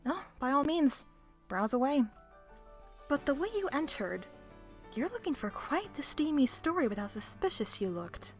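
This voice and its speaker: A woman's voice: sits high, big pitch swings, normal speed, faint music behind. A woman playing a sly, self-assured librarian with arch, playful, theatrical phrasing in an audio roleplay. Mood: teasing.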